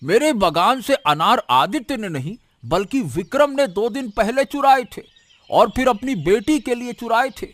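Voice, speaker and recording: A man's voice: very high voice, animated, measured pace, fairly bright tone, no echo, full character voice. A man playing a bullying, scheming village orchard owner in a cartoon moral story, blustering and repeating his commands. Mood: pleading, anxious.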